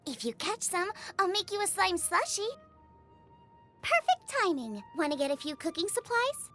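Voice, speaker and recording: A woman voicing a bubbly, eager, food-obsessed young woman chef in an expressive video game style, chatty and full of exclamations. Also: extremely high pitch, moderate pace, exaggerated voice. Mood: cheerful, inviting. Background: music.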